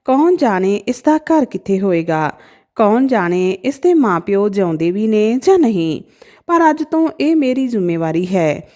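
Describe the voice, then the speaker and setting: A woman voicing a kindly, fatherly male temple priest of sixty in a storybook tale, speaking gently and soothingly. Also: mid-range voice, big pitch swings, unhurried. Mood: pensive, tender. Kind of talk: storybook dialogue.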